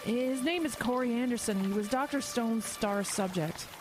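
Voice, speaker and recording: A woman acting a frightened, compassionate investigator in an audio horror drama, earnest and halting under strain. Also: on the high side, background noise, close to an everyday voice. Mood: urgent explaining.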